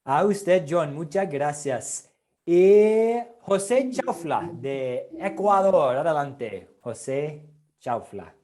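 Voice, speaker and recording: A pastor teaching an online class, courteously calling each student by name. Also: male voice, on the high side, lively intonation, extremely slow, mellow. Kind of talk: hosting an online class roll call.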